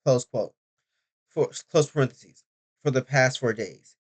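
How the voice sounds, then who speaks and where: A man's voice: medium pitch, a little slow. A young man rambling through a casual video diary, chatty and often interrupting himself. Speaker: vlogger.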